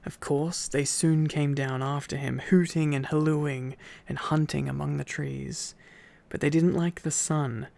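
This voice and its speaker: A man's voice: medium pitch, creaky. A sleepy man doing an intimate ASMR boyfriend-style reading, tired and affectionate, with playful asides to his listener. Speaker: ASMR boyfriend roleplay storyteller.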